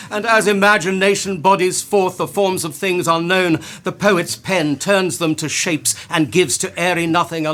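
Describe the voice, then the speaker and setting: An actor playing a grand, genial duke in a Shakespearean radio play, declaiming theatrically. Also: male voice, sits high, pushed and bright. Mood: musing.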